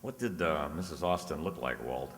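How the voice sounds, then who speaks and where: Very low male voice, actor as shrewd private eye, wry, deducing, radio mystery, reverberant hall. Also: expressive, moderate pace, fairly bright tone, heavily acted. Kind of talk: detective radio play acting.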